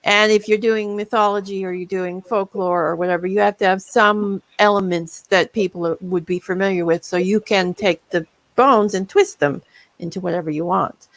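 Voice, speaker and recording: A woman's voice: medium pitch, fairly even intonation, moderate pace, low-quality recording. A woman talking informally as a guest author on a panel, explaining things in plain conversational terms. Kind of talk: casual panel discussion.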